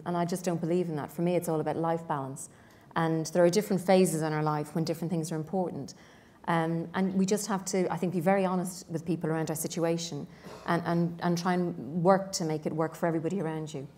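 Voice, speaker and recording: A woman's voice: lowish voice, mellow. A woman panelist speaking earnestly, often stopping to restart her sentences. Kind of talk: panel talk.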